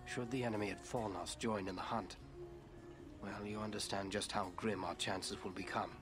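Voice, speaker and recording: An actor playing a grave, watchful ranger chieftain in a fantasy game, speaking in a measured, earnest way. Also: male voice, fairly low pitch, steady tone, lightly acted. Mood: worried resolve.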